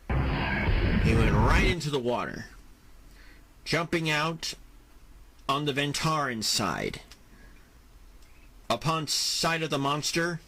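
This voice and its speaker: A man's voice: fairly high pitch, heavy background noise. A man narrating a scripted action audio drama as its storyteller. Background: music.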